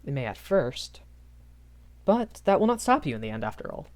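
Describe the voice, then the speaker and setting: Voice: mid-range voice, sing-song, normal speed, lightly acted. A performer voicing a shy, earnest young magic academy professor in a rambling audio roleplay, hesitant and often self-correcting. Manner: hesitant, self-correcting, apologetic, nervous chuckles. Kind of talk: improvised character audio roleplay, rambling lecture.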